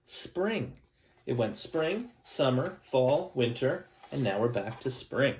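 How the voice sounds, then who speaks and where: Gentle male teacher reading aloud. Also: mid-range voice, slow, a little hiss or hum, low-quality recording. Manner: gentle, calm storytelling with chatty asides to kids. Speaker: elementary school teacher. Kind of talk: reading a picture book aloud to students.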